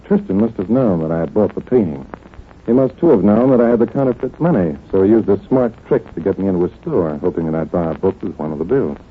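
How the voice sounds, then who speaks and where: An actor playing a confident, wry psychologist detective in a brisk 1940s radio drama style. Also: male voice, low-pitched, animated, slightly rough. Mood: confident, deducing. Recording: noisy, tinny old radio recording.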